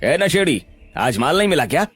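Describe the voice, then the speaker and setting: A man's voice: fairly high pitch, big pitch swings, fairly quick, a bit bright, slightly rough, faint music behind, full character voice. A man voicing a jeering young male street bully in a cartoon story. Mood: mocking.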